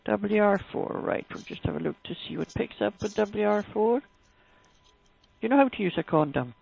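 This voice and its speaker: A woman's voice: medium pitch, lively intonation, normal speed, vocal fry, a little mellow, slightly rough. A woman working as a helpline adviser, explaining things in a matter-of-fact way over the phone. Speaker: sexual health helpline adviser. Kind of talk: phone advice conversation. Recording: muffled, tinny phone line.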